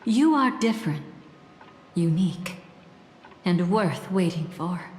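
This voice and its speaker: An actress playing a solemn, mysterious oracle in a video game cinematic, with a portentous delivery that slips into whispers. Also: female voice, lowish voice, lively intonation, darkish tone, a little hiss or hum. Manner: slow, portentous, breaking into whispers. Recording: long echoing reverb, faint music.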